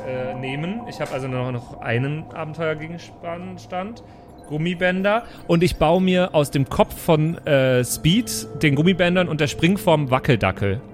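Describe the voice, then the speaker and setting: A man with a slightly high voice plays a character in an improvised tabletop game. He speaks informally and often trips over his wording, switching between role-play and casual table talk. Light music runs underneath. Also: moderate pace. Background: background music.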